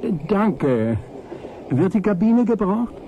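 Brooding priest, talks slow, polite and musing, radio drama, noisy cabin sound behind. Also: male voice, high voice, big pitch swings, warm tone, muffled recording, heavily acted. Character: pensive priest, male traveler brooding over memories. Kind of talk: 1980s German radio play acting.

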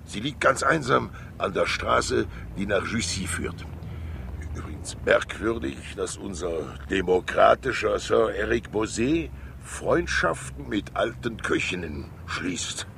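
An actor playing a fat, wily, talkative older state councillor in a 1960s radio crime drama, jovial and theatrical, rambling with asides and pauses. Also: male voice, lowish voice, lively intonation, creaky, a bit bright, full character voice. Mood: confiding, sly. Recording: hissy 1960s radio recording.

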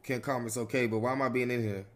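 A man voicing a meek, evasive young murder suspect under questioning in improvised game roleplay, speaking haltingly. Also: medium pitch, fairly even intonation, voice pushed down, natural voice. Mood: wary, halting.

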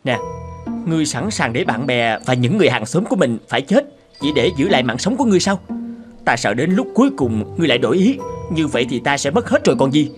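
A man's voice: medium pitch, sing-song, quick pace, music in the background, close to an everyday voice. A man voicing a burly, mocking, suspicious hunter in a cartoon, theatrical and blustering. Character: burly middle-aged male hunter, gloating, mocking, suspicious. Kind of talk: cartoon character acting.

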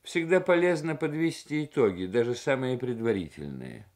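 A man reading a thoughtful, assured Soviet diplomat with deliberate, formal phrasing in a literary radio reading. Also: mid-range voice, big pitch swings, darkish tone, pitched above the performer's own voice. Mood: assured, reflective.

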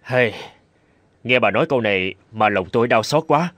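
A man's voice: medium pitch, lively intonation, normal speed, fairly bright tone, dry recording, close to an everyday voice. A man voicing a poor, weary, humble noodle-seller husband in a cartoon fairy tale, with a plaintive manner. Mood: weary sorrow. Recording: clear background music.

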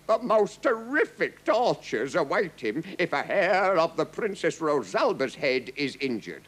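A man's voice: fairly high pitch, big pitch swings, measured pace, a bit bright, voice pushed up, full character voice. A man voicing a gallant knight captain in a storybook, formal and declamatory with archaic wording. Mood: firm, proud. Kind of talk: storybook character acting.

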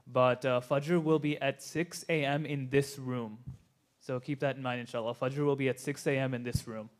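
A man's voice: medium pitch, steady tone, normal speed. A man making a procedural announcement at a convention, plain and matter-of-fact. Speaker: event announcer.

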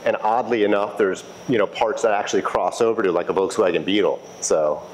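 A man speaking as a restoration technician in a casual interview, explaining in a halting, conversational way and repeating phrases. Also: fairly low pitch, poor audio. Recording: clear steady background noise.

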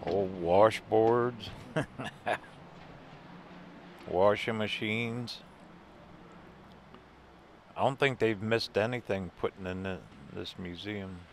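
Older guy wandering a museum, slow and laid back, kinda low voice, camera mic with faint hum behind. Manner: easygoing, wry, chuckling asides. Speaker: RV travel vlogger. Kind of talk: casual walk-through narration. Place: indoor museum buildings.

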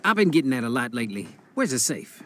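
A man's voice: mid-range voice, sing-song, quick pace, a little hiss or hum, natural voice. A man acting a cocky, wisecracking young crook in a video game, with a flippant, sarcastic delivery. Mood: brisk, confident. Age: young adult.

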